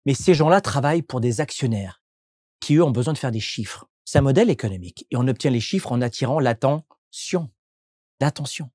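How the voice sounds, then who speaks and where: A man's voice: medium pitch, lively intonation, brisk, mellow, slightly rough, no echo, clean studio sound. A man from France, a coach and entrepreneur, answering interview questions in a reflective, explanatory way with frequent restarts.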